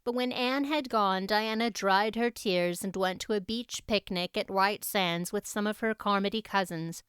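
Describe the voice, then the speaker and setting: A woman's voice: mid-range voice, normal speed, fairly bright tone, clear, resonant tone, no room sound, clean studio sound. A woman reading scripted storybook narration as an audiobook narrator.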